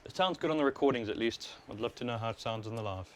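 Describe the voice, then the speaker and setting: A man's voice: medium pitch, fast. A man with a South African accent giving casual, informal and explanatory live stream commentary on a wireless worn microphone. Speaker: livestream host, overclocker. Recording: clear steady background noise.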